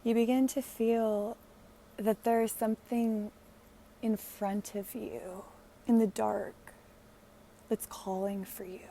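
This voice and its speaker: Woman, very unhurried pace, soft dark timbre, gentle hushed meditation guide, long pauses, soothing. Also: on the high side, flat delivery, a little hiss or hum.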